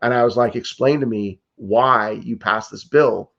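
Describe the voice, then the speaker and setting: A man's voice: mid-range voice, measured pace, creaky, fairly bright tone, highs cut off. A man in his fifties from the United States, a political candidate answering interview questions in an earnest, urgent way, with frequent restarts and fillers. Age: 50s. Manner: earnest and urgent, many restarts and "like", "you know".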